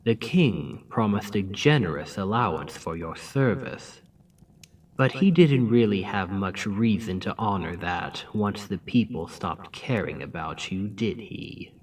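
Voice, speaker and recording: Man playing a scheming demon king for ASMR roleplay, low-pitched and unhurried, mocking drawl with whispers, mic set back. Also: lively intonation, husky, distant mic. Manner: slow, soft-spoken, mocking drawl with dips into whisper.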